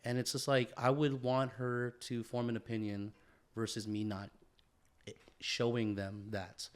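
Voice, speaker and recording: A man's voice: medium pitch, flat delivery, unhurried. A man, a father, co-hosting a podcast in casual chat, earnest and reflective, often restarting as he searches for words and agreeing with repeated "yeah". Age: young adult to middle-aged father. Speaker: podcast co-host.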